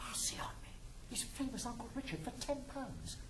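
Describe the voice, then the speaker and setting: An actor playing a shrewd, sentimental old English uncle in a classic stage comedy, speaking in an exclamatory, theatrical manner. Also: male voice, very high-pitched, brisk, a bit bright, in a whisper. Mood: scandalized muttering. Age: older. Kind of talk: classic stage comedy acting with muttered asides.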